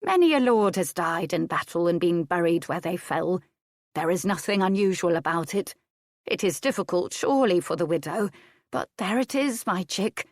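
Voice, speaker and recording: A woman's voice: lowish voice, expressive, moderate pace, dry recording. Woman doing an old motherly nurse, coaxing, practical, comforting, dry close audiobook read. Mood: soothing. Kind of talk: audiobook character voice.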